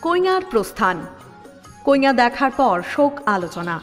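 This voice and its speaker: A woman narrator reading out scene directions for an audio drama in a brisk announcing manner. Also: sits high, big pitch swings, soft background music. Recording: long hall reverb.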